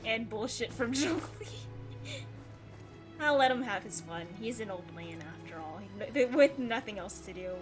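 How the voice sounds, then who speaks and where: A woman with a fairly high, very lively voice streams a game in a casual, playful way. She takes her time between remarks, chatting and reacting to what is on screen. Her pitch moves around freely as she goes. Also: a little slow, music in the background. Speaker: livestream host. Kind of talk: casual gaming livestream commentary, reading game dialogue aloud.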